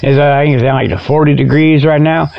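A man's voice: on the high side, steady tone, fast, creaky. A man hosting a comic radio show with a rambling, folksy, informal monologue. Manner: folksy, informal, repeatedly tags phrases with "okay". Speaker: host. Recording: dull lo-fi radio sound.

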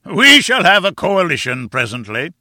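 A man voicing a huge, pompous, mocking husband in an audiobook, booming and rumbling as he blusters. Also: very animated, measured pace, sharp tone, no room sound, studio quality, exaggerated voice. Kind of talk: audiobook character acting.

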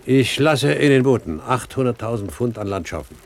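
An actor playing an eloquent, composed and authoritative older pirate captain, a cold-blooded gentleman, in a German radio play. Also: mid-range voice, lively intonation, moderate pace, natural voice. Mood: composed, calculating. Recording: noisy 1970s radio production.

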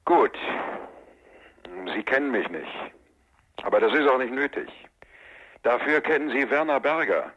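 In muffled, narrow sound, a menacing go-between from a radio crime play. The voice is husky and cold. He presses his point in an insistent, businesslike way. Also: medium pitch, expressive, measured pace, pauses often. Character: cold, menacing male go-between offering bribes. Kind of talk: radio crime drama acting.

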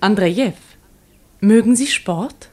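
An actress playing a governor's playful, teasing young daughter in a radio drama, pert and eager. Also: female voice, mid-range voice, slow pace, mellow. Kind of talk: German radio drama acting.

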